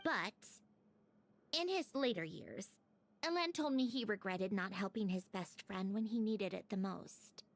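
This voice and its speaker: A cool, self-assured agent. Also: female voice, mid-range voice, unhurried, slight background noise. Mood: reflective. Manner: calm, confident, faintly smug. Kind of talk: game cutscene voice acting. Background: game music.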